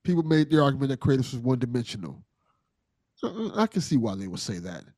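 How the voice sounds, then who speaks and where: A man's voice: medium pitch, very animated, quick pace, dark tone, no echo, studio quality. A man hosting a podcast in casual conversation, earnest and appreciative, often repeating things for emphasis. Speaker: podcast host.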